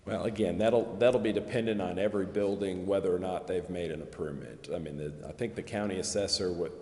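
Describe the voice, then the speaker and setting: A man with a very low voice explains things in a measured, careful way at a public meeting. He restates and qualifies his points as he goes. His words ring with long reverb in an echoey chamber. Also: a little mellow. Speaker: presenter explaining tax district finances at a council meeting. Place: council chamber.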